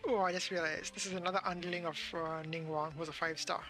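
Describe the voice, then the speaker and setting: A game streamer giving casual, musing, halting live commentary on a game's story. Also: mid-range voice, fairly even intonation, fairly bright tone, faint music behind.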